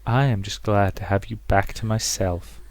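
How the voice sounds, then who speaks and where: A man voicing a possessive, sinister ghostly mother in a visual novel, slow, drawn-out and cloying. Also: on the low side, fairly even intonation, darkish tone, dry recording, lightly acted. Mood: sweetly menacing.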